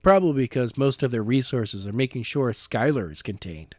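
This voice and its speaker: A man's voice: medium pitch, expressive, a little fast, dry recording, lightly acted. Male reader as curt young heroine, firm, dry close sound. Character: sharp young woman, able to teleport. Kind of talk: amateur audiobook reading.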